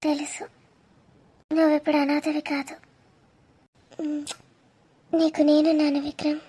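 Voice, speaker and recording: A woman's voice: high voice, completely flat delivery, a little slow, dark tone. A woman voicing a shy, affectionate young woman in a cartoon romance, with a sweet, hesitant manner. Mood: tender.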